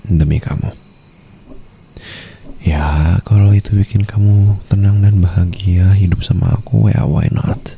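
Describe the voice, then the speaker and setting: A man with an Indonesian accent playing a doting, teasing mafia boss in intimate romantic ASMR roleplay, drawling seductively and often breathy. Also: sits low, fairly even intonation, measured pace, dark tone, a little hiss or hum. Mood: tender, earnest.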